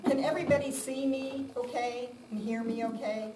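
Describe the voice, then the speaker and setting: A woman's voice: fairly high pitch, steady tone, normal speed. A woman giving an informal, conversational talk to a live audience, with a self-deprecating manner. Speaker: guest speaker. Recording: roomy, distant mic, loud background noise.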